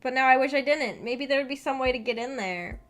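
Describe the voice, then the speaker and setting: High-voiced woman chatting casually on stream. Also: brisk, fairly bright tone. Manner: self-deprecating, hesitant, giggly asides. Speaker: livestreamer hosting a visual novel book club. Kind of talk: casual rambling stream chat with viewers. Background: background music.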